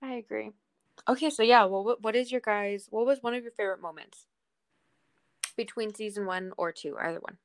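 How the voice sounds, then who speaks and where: A woman with a mid-pitched voice leads a casual podcast discussion of television. She talks informally with animated reactions, and the recording is dry and close. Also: fairly quick, dry recording. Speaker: podcast host. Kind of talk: casual chat about a TV show.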